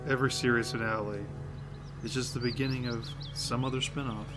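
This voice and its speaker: A man playing a dutiful, deadpan, long-suffering field agent with dry delivery, in a comic audio drama. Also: mid-range voice, fairly quick, natural voice. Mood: wry. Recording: music underneath.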